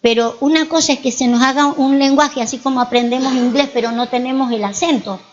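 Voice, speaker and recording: A woman's voice: sits high, fairly even intonation, a little fast, lo-fi sound, slight background noise. A woman pastor with an Argentine accent, preaching a sermon in church and telling stories with frequent rhetorical questions to the congregation. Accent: Argentine Spanish.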